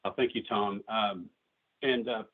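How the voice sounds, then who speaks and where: A man giving a director's update at a board meeting, congested from a cold and talking haltingly with many fillers. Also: fairly low pitch, steady tone. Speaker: agency director. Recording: tinny phone-like audio.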